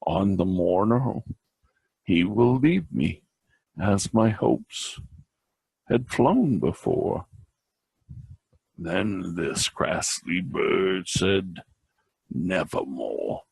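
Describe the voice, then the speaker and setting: A man giving a dramatic recitation of a poem, theatrical and halting, with occasional stumbles. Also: sits low, lively intonation, vocal fry, darkish tone, husky, no echo. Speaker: reader.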